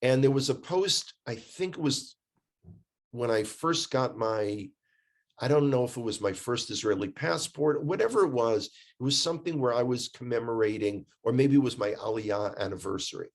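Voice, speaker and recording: A man's voice: medium pitch, animated, normal speed. A man with an American accent telling stories in a casual, conversational way, emphatic and repeating phrases for effect. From United States. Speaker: guest, tour guide living in Israel.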